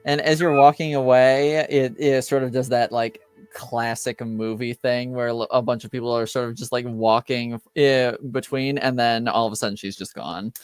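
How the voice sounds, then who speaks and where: A man's voice: medium pitch, steady tone, moderate pace, hardly any pauses, smooth, no room sound, faint music behind. A man improvising tabletop game narration as game master, feeling his way through descriptions.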